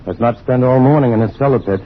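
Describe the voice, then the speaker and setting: An American actor playing a calm, reassuring, educated scientist hero in an old-time radio drama. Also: male voice, mid-range voice, quick pace, close to an everyday voice. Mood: brisk, easygoing. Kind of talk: 1950s radio drama acting. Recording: dull, lo-fi old radio, faint noise.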